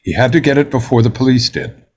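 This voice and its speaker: A man's voice: medium pitch, expressive, quick pace, lightly acted. A man playing a stern, logical, humorless juror with a matter-of-fact manner at a dramatic table read.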